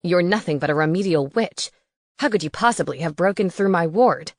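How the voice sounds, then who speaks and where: A woman voicing an arrogant, menacing young male wizard who talks loudly and with contempt. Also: lowish voice, lively intonation, brisk, no echo, voice pushed down. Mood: sneering. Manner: loud, sneering and forceful.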